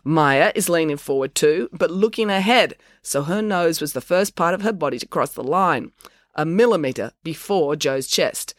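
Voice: medium pitch, expressive, normal speed, no echo, clean studio sound, pitched above the performer's own voice, close to an everyday voice. A narrator voicing a pedantic, officious boy who declares things precisely in a storybook. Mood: declaring.

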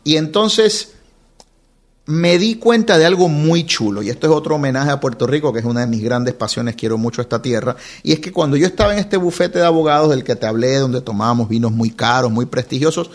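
A man in his forties from Ecuador, chatting as an interview guest, telling stories in an animated way and laughing often. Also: medium pitch, fairly quick. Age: 40s. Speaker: podcast guest, wine content creator. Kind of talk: casual interview storytelling.

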